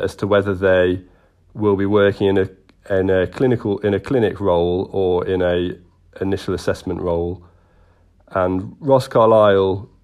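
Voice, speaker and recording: A man who works as an urgent treatment practitioner, reading a hospital announcement in a dull, flat voice with frequent ums and uhs. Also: low voice.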